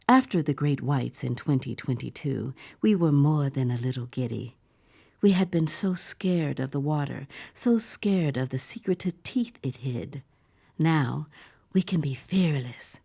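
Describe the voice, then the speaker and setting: Eerie dystopian storyteller, female, low pitch, mellow dark tone, measured, dramatic. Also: gravelly, slightly rough, full character voice. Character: uneasy woman narrator of a dystopian tale, eerily giddy. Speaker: actor narrating a dystopian story. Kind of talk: scripted dramatic narration.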